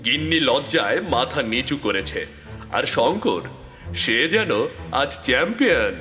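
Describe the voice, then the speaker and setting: A man's voice: mid-range voice, very animated, a little slow, pushed and bright, big-room sound, soft background music. A man narrating a scripted comic cartoon story.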